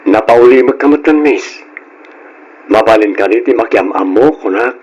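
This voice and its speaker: A man's voice: lowish voice, normal speed, darkish tone, breathy edge, dull audio. A man playing a forward, insistent stranger introducing himself in an old Philippine radio drama. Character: forward, long-haired bearded male stranger approaching a woman.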